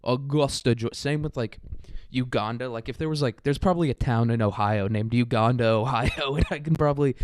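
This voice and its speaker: A man's voice: medium pitch, brisk, darkish tone, smooth, dry recording. A young American man hosting a solo podcast, rambling casually and comedically in a crude, irreverent manner. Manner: crude, irreverent, often chuckling as he talks.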